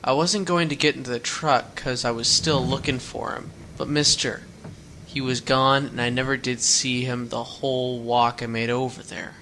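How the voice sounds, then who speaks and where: Teen runaway boy, guarded but earnest, bright voice, faint hiss behind. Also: mid-range voice, steady tone, normal speed. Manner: plain-spoken, rambling storyteller with folksy words. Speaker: hitchhiking runaway. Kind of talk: radio drama acting.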